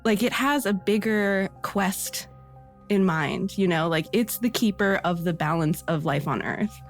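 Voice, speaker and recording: Female podcast panelist, mid-range pitch, earnest and informal conversational delivery with music behind. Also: measured pace. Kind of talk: casual conversation.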